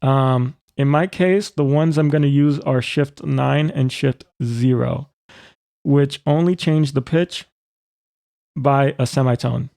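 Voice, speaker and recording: A man walks through a software tutorial step by step in an informal way. His voice is gravelly and mellow, of middling pitch, recorded close and very dry. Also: takes it slowly, dry recording. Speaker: tutorial presenter.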